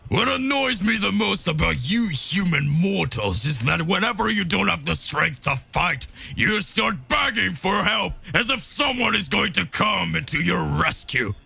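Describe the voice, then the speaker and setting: A man's voice: high voice, gravelly, sharp tone, breathy edge, pitched above the performer's own voice, exaggerated voice. A man voicing a cruel dragon full of contempt for mortals in an anime-style story. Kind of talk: anime fan-fiction dramatic reading.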